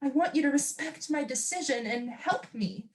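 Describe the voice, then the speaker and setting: A woman's voice: high voice, lively intonation, moderate pace, a little hiss or hum. A young woman acting in a stage play as a defiant, wounded wife who longs to sing. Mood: pleading, firm. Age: young adult. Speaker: student actor.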